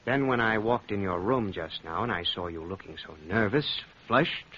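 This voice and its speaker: An actor playing a suspicious, coldly polite and sardonic aristocratic husband in an old-time radio drama, speaking with deliberate, pointed courtesy. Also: male voice, lowish voice, animated, sharp tone, slight background noise. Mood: insinuating. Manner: silky, deliberate politeness with pointed pauses and repetitions. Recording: dull lo-fi vintage radio recording.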